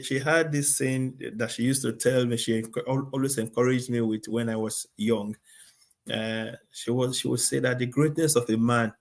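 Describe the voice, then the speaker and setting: A man hosting a live stream, affirming his guest and thanking viewers, with frequent stammers and laughs. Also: medium pitch. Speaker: host.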